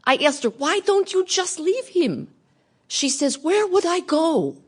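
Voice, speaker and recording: An actress playing an earnest, determined Russian researcher turned activist in a dramatic radio theatre monologue. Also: female voice, very high voice, sing-song, measured pace, heavily acted. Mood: indignant, resolute. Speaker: actress in an audio play.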